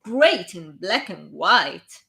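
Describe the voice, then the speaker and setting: An Italian-accented woman voicing a flamboyant young male wizard photographer, with gushing, drawn-out enthusiasm. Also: mid-range voice, big pitch swings, creaky, sharp tone, heavily acted.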